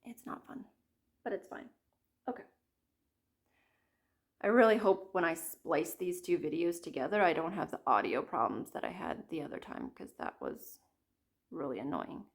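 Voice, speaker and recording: A woman in her thirties chatting casually about her cross-stitch projects, her voice a little croaky from a cold, rambling and apologizing often. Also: deep voice, sing-song, normal speed, long pauses. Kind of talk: casual chat to camera.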